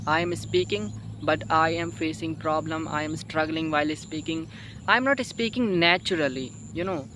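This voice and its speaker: A man with an Indian accent and Hindi as his first language speaks in a high-pitched voice. He gives informal learning advice, pausing and repeating phrases for emphasis. Clear outdoor background noise sits behind him. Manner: halting, repetitive, emphatic, frequent "you know" and "okay" tags. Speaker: English-learning video host, learner. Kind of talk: informal spoken advice to viewers.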